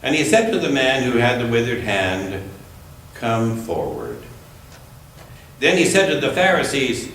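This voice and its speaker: Echoey distant recording, man, church scripture reader, slow deliberate phrasing, expressive rise and fall. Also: mid-range voice. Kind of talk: scripture reading aloud. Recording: echoey room, distant mic, faint noise.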